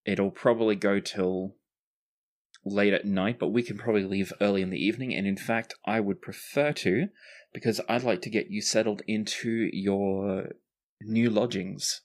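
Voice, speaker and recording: A man playing a wry, gallant friend who teases gently and mocks himself, in improvised tabletop roleplay with dry, deliberate phrasing. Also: fairly low pitch, fairly even intonation, close to an everyday voice. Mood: dry, reassuring. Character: wry, gallant male friend, gently teasing, self-mocking.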